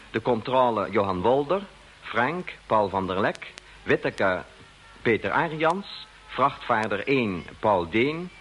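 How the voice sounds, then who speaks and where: A man making formal, measured scripted announcements as the announcer of a radio drama. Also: mid-range voice, fairly bright tone. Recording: old radio broadcast with faint noise.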